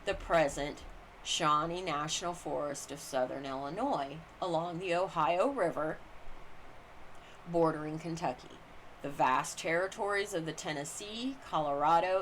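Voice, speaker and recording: Low-pitched woman reading aloud, noisy recording. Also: expressive, a little slow. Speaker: reader of a book on constitutional law. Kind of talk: scripted narration, reading aloud. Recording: clearly noisy amateur recording.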